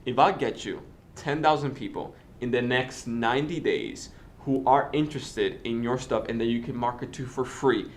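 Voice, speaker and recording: A man's voice: medium pitch, slight background noise. A man in his twenties from the Dominican Republic, a native Spanish speaker, talking casually with lots of fillers and restarts. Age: 20s. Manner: fast, filler-heavy talk with many restarts. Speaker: host. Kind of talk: casual interview and tutorial talk.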